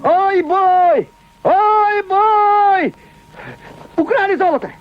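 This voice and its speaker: A man voicing a wily, loud folk trickster in a cartoon, with theatrical, drawn-out, exclamatory delivery. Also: very high voice, exaggerated voice. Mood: wailing, mock despair. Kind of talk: exaggerated animated cartoon voice acting. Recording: dull old cartoon soundtrack with faint music.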